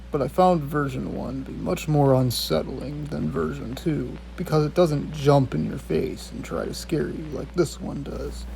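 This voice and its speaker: A man's voice: on the high side, creaky, darkish tone, slight background noise, rough recording. A man hosting a video review, reading scripted commentary with some casual, profane asides.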